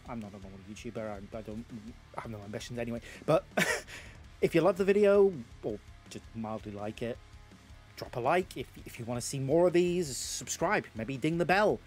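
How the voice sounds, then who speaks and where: A man in his thirties with a Geordie accent, narrating a tabletop battle report at home, slightly hoarse, rambling with lots of fillers. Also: mid-range voice, lively intonation, normal speed, darkish tone, soft background music. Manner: rambling, self-deprecating, lots of filler words. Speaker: YouTube host. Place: home games room.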